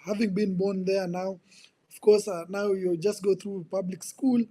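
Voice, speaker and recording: A man in his twenties with a Kenyan accent, telling his life story as a podcast guest in an earnest, halting way, often repeating words. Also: high voice, steady tone, warm tone. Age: 20s. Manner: earnest, repeats words while searching for them. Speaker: guest, ministry coach. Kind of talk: personal testimony in conversation.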